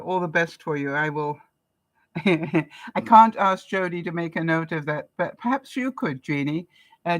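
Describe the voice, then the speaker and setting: An older woman from Canada with a stuffed-up nose, hosting a casual live-stream chat and often pausing with ums and small laughs. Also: low voice, dry recording. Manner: chatty, with frequent ums and soft laughs. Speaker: vlogger hosting a live broadcast.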